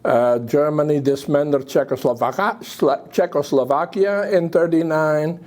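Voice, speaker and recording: An older man with a Belgian accent giving a public talk about his memories, speaking haltingly with pauses. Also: on the high side, a little mellow. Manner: halting, hesitant delivery with pauses. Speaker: guest speaker.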